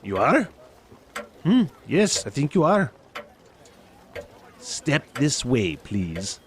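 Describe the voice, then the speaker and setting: A man's voice: medium pitch, sing-song, very slow, slight background noise. A man playing an elderly reformed miser in an audio drama, theatrical, exclamatory and giddy with joy. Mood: mock stern. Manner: theatrical, exclamatory, bursting into laughter.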